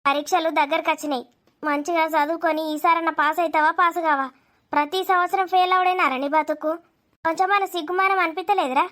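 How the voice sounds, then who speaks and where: Woman as a very high-pitched, nagging Telangana mother. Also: steady tone, fast talker, fairly bright tone. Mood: scolding. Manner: nagging, sarcastic. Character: scolding, sarcastic village mother. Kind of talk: cartoon village comedy acting.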